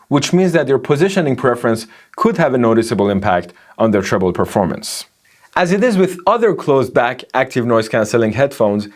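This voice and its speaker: Guy explaining tech measurements in a review, mid-range voice that moves up and down a lot. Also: medium pitch. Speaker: audio reviewer. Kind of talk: scripted technical review narration.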